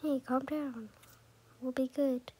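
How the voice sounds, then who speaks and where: A woman voicing a gentle, reassuring mother in a dollhouse game, soft and soothing. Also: high voice, fairly even intonation, slow pace, slightly rough, full character voice. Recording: music playing behind the voice.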